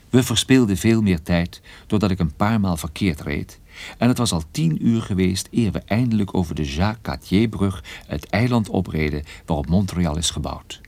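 An actor playing a wry, brisk private detective on the run in a radio drama, telling and acting the story with dry understatement. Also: male voice, fairly low pitch, creaky, darkish tone, no room sound, full character voice. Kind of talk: radio drama narration and dialogue.

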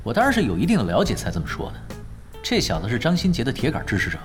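Chill teasing gamer dude in an audio drama, super lively intonation, music playing behind. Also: male voice, mid-range voice, very fast. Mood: glib, mock-serious. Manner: glib, teasing, mock-serious. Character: laid-back teasing male gaming veteran coaching his boss. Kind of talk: Chinese audio drama acting.

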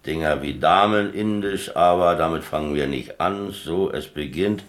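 A very deep-voiced man, a worldly convict in a radio play. He talks bluntly and colloquially, explaining patiently in a laconic, matter-of-fact manner. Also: moderate pace. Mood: reassuring, confident. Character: worldly, plain-spoken prison inmate, patient, blunt chess teacher. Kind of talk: radio drama acting.

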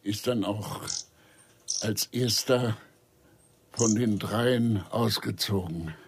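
An actor playing a confused elderly father in a radio crime drama, brusque and halting, forgetful and husky. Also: on the low side, dry recording, exaggerated voice. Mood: wistful. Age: older. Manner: halting, forgetful, repeating himself.

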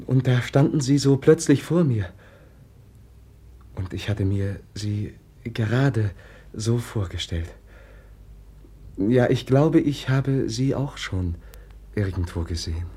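An actor playing a gentle, dreamy, naive young prince, speaking with hesitant sincerity in a 1950s German radio drama. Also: male voice, on the low side, slightly rough. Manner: hesitant, soft-spoken sincerity.

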